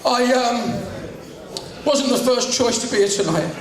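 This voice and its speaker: A man doing a stand-up comedy routine at a charity fundraiser, telling jokes rapidly and doing the voices of the people in them. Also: very high voice, lively intonation. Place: large hotel function room with audience. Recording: distant, very echoey hall with crowd. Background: audience laughter.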